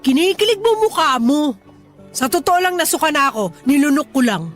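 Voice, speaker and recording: A prickly, tomboyish young woman in a radio drama, firing off curt and scornful comebacks. Her voice sits high, with faint music running underneath. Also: expressive, fairly quick.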